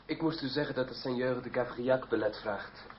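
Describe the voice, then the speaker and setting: An actor playing a formal, deferential manservant who announces visitors in a radio play. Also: male voice, mid-range voice, brisk, lightly acted. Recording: muffled old radio play, faint noise.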